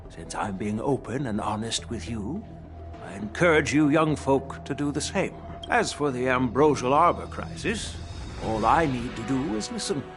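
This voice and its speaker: An actor playing a shrewd old elder statesman in a video game, speaking in a measured, frank and formal way. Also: mid-range voice.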